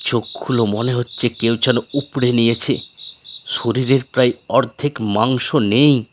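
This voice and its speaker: A man's voice: medium pitch, fairly even intonation, a little slow, a little hiss or hum. A man narrating a scripted horror story in a dramatic storytelling style.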